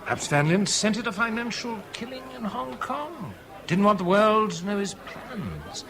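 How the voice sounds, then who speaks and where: Very animated, urbane man, crowd behind. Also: sits high, a little slow, exaggerated voice. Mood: knowing, suave. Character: worldly, urbane male contact, genially in command. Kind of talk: 1970s British radio drama dialogue. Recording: busy club crowd behind.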